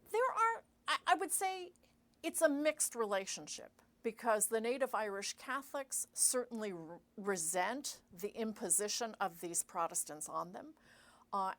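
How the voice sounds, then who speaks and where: A middle-aged woman historian answering interview questions in a measured way, pausing with fillers and correcting herself as she explains. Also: on the low side, vocal fry, fairly bright tone. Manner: measured, thinks aloud with fillers and self-corrections. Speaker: historian guest.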